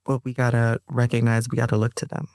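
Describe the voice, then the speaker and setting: A woman who is a poet and interview guest, talking in an emphatic, expressive way. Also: extremely low pitch, fast, no echo, clean studio sound. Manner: emphatic, laughs often while talking. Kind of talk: conversational interview, reciting own poem.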